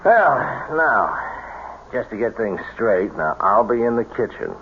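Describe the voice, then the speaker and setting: Casting: eager con-artist sidekick, animated delivery, old radio drama, tinny sound. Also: male voice, fairly low pitch, measured pace, a little hiss or hum. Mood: questioning. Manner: excitable, impressed, questioning. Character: eager male accomplice in a séance swindle.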